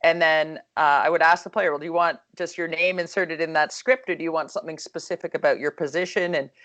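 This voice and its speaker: Calm low-voiced woman coach. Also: quick pace. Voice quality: calm, soothing voice. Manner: chatty, lots of fillers and restarts. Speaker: hockey coach. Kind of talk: casual video call discussion.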